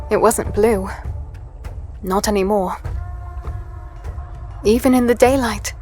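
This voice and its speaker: Actress playing earnest young-sounding fantasy heroine, high-ish pitch, dramatic first-person narration, audio drama, music underneath. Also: female voice, lightly acted. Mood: uneasy, reflective. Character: earnest human heroine narrating her ordeal in a strange realm.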